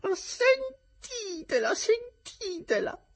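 A man doing a shrill, wailing old woman in a storybook. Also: sing-song, exaggerated voice. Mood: wounded. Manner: drawn-out, theatrical outbursts. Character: bitter, furious old woman, wild with grief. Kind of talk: audiobook reading of a classic novel.

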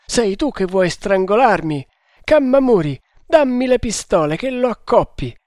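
A man's voice: a little high, slow pace, no echo, studio quality. A man voicing a delirious wounded hunter, frantic and menacing, in a theatrical raving manner for an adventure audiobook. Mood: terrified fury. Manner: raving, theatrical, swinging into shouts. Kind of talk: dramatic adventure audiobook reading.

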